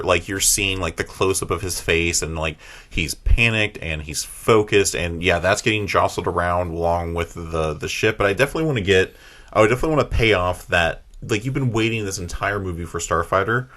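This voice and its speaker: A man's voice: deep voice, fairly quick, a bit bright. A man co-hosting a casual podcast chat, informally explaining his ideas and often circling back to rephrase them. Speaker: podcast co-host.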